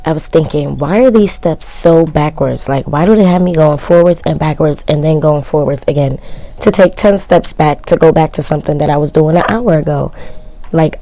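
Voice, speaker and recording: A woman talks through her sewing project in a casual voice-over, low and mellow, with a gravelly fry. Her voice is worn and cracks from constant yelling, and she keeps tossing in self-mocking, shrugging asides. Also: no room sound. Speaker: sewing vlogger. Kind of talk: casual DIY voice-over narration.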